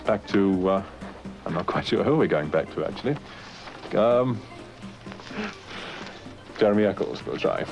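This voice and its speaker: A very deep-voiced man closes a radio news segment in a hesitant, wry way. Faint music sits in the background of the old broadcast. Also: expressive, unhurried, creaky, pauses often, darkish tone, rough recording. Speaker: news presenter.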